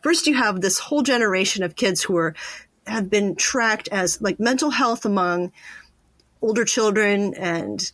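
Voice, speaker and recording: A woman's voice: mid-range voice, expressive, a little slow, fairly bright tone, no echo. A woman hosting a podcast, talking conversationally with her interview guest. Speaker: podcast host.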